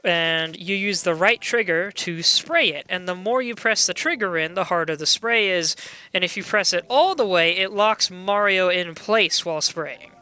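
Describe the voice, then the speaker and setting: A young adult game streamer with a loud voice, chatting casually and excitably while playing and greeting viewers. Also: mid-range voice, moderate pace, clear, resonant tone, faint music behind. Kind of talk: casual live gameplay chat.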